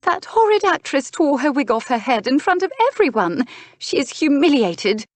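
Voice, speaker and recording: A woman's voice: high voice, big pitch swings, normal speed, no echo, pitched above the performer's own voice. A woman voicing a haughty, indignant duchess and mother in a historical romance audiobook, dramatic and scornful. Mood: indignant, distressed.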